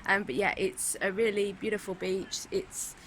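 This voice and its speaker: A woman's voice: mid-range voice, steady tone, moderate pace, fairly bright tone, rough recording. Travel vlogger, British woman, casual and rambling with ums, recorded outdoors on a handheld camera with street noise. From United Kingdom. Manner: chatty, lots of ums, talks to camera. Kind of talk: casual walking tour vlog. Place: outdoors in a city, beach and streets.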